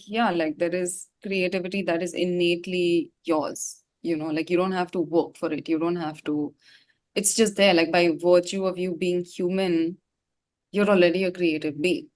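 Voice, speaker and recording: A woman's voice: fairly low pitch, darkish tone. A woman from Gangtok, India, a singer chatting casually as an interview guest, informal and reflective in a rambling way.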